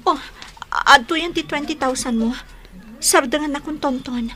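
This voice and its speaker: A high-voiced, pleading Ilocano deceiver, radio drama. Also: female voice, moderate pace, bright, forceful tone. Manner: pleading, apologetic. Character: guilty young woman who deceived her lover.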